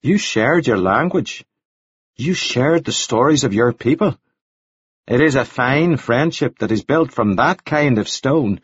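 A man voicing a genteel, talkative gentleman who speaks formally and politely, in a dull, dry recording. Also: mid-range voice, expressive, unhurried, husky, no echo. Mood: earnest. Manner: formal, flowery, solemnly polite.

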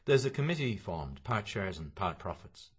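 A man's voice: lowish voice, big pitch swings, husky, pitched above the performer's own voice. A man doing a mild, courteous middle-aged man. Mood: mild polite. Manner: polite, mild and measured.

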